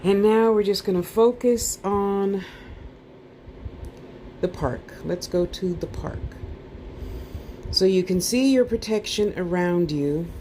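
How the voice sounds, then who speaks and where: A woman guides a meditation at a very unhurried pace in a soft-edged, mellow voice. She is soothing and informal, slipping in playful asides and repeating short phrases to lull the listener. There is some background noise on the recording. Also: medium pitch. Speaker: guided meditation class teacher. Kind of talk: guided meditation, relaxation instructions.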